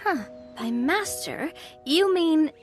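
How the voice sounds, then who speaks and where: A woman playing a polite, lively young woman in a video game, conversational and inquisitive. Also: high voice, slow, faint music behind. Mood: inquisitive, friendly.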